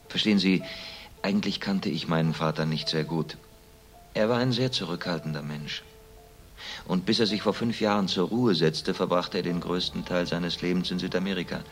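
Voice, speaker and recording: A deep-voiced, reserved gentleman in a radio crime play, the well-to-do son of a murdered father. He speaks soberly and with measured, subdued matter-of-factness. Faint music sits behind him. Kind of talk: German radio crime drama dialogue.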